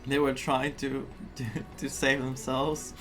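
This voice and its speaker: A game streamer offers casual commentary at a slow pace. The voice is mid-pitched and musing, often in short murmured asides, with soft music behind. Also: little pitch movement. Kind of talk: casual reaction commentary over a story game.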